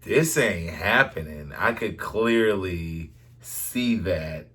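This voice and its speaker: A man's voice: low voice, animated, slow, fairly bright tone. A man chatting casually and chiming in on a story, with a blunt, slangy way of talking. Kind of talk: casual chat, interjecting.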